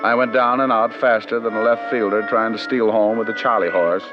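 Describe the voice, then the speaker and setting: A man's voice: lowish voice, steady tone, brisk, close to an everyday voice. An American man playing a cocky, sardonic private detective in an old-time radio drama, narrating his case with dry, flippant wit. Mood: wry narration. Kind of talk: 1940s-50s radio detective acting and narration. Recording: muffled vintage radio broadcast with music.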